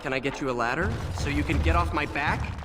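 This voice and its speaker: An actor playing an irritable, sarcastic grumbler who reluctantly obeys in a video game. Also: male voice, a little high, a little fast, very noisy, close to an everyday voice. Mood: grumbling. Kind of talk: video game character acting.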